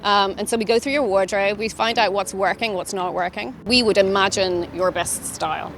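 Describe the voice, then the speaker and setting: A woman's voice: medium pitch, fairly even intonation, moderate pace, slight background noise. A woman with an Irish accent, a personal stylist explaining her work in an interview. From Ireland.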